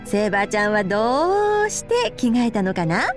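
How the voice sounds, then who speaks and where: A nosy, playful big sister from an anime game. Her voice sits high and swings widely in exaggerated, teasing phrases, delivered at a slow pace. Music plays behind her. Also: big pitch swings. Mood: suspicious probing. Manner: theatrical, singsong, exaggerated. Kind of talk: Japanese anime-style game voice acting. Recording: background music under the voice.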